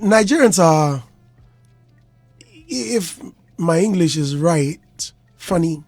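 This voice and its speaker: Male interviewer, high-pitched voice, dry close sound, casual, conversational, musing. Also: animated, takes it slowly, darkish tone, dry recording, faint music behind. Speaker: interviewer, broadcast host.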